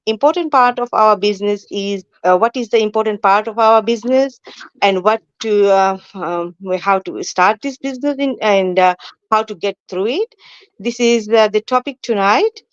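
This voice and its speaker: A woman hosting a live online talk show, speaking in a halting, hesitant way as she introduces it. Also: mid-range voice, clean tone, dry recording, highs cut off.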